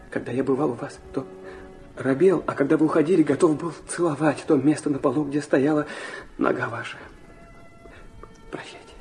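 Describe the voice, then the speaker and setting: Slow, lovesick young student played by a man. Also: a little high, warm tone, natural voice. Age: young adult. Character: lovesick, earnest young student, breathlessly confessing. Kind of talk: intimate radio play acting. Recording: studio radio drama with music behind.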